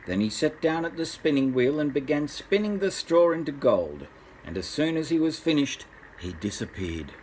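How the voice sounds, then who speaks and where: Older man reading a story, animated pitch. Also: slight background noise, low-quality recording. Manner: unhurried, expressive, with asides to child listeners. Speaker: grandfather reading a bedtime story. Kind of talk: storybook narration for children.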